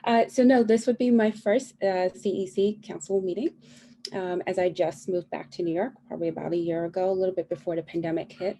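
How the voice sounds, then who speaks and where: Casting: self-assured woman, warm low voice, kids faintly heard behind, video call. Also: fairly even intonation, a little fast, a little hiss or hum. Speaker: school council candidate. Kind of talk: candidate forum answer over video call. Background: children fighting.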